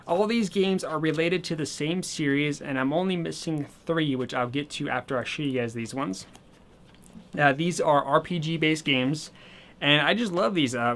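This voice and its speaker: A man casually showing off his new video games and accessories, chatty and rambling, slightly hoarse as he says he is losing his voice. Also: fairly high pitch, fairly bright tone. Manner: chatty and rambling, with many fillers. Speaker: gaming channel host. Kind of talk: casual video game haul vlog.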